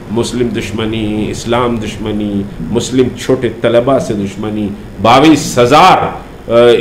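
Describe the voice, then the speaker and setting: A man delivers a political statement in a mid-pitched voice. He speaks deliberately, stopping often to find the next phrase. The room adds a clear echo, with faint noise underneath. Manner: deliberate, halting with frequent pauses. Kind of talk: political statement to press, unscripted.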